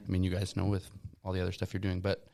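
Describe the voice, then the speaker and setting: Man of about twenty, low and gravelly, speaking in an even, flat tone. he is a band member answering interview questions in a laid-back way, with plenty of filler words. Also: fast. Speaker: band musician guest.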